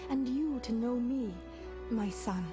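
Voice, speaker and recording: A woman voicing a swan who was once a noblewoman and is a loving, exiled mother in a video game, speaking in solemn, measured, formal phrases. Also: on the high side, music in the background. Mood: tender, wistful. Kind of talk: scripted video game voice acting.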